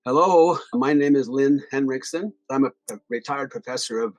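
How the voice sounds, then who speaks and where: An older man, a retired professor, calmly explaining history in an interview. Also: mid-range voice, no room sound. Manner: measured, explaining history step by step.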